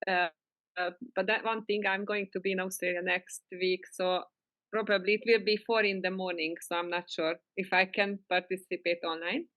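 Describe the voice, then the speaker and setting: A middle-aged woman with a Hungarian accent, sharing casually on a call in halting, giggly non-native English. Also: lowish voice, steady tone, a bit bright, no room sound. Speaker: spiritual teacher, client on a coaching call.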